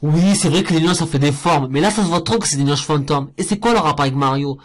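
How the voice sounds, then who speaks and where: Sarcastic man with a fairly high voice. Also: quick pace. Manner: sarcastic, jokey, scornful. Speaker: cartoon reviewer. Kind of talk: mocking commentary over clips.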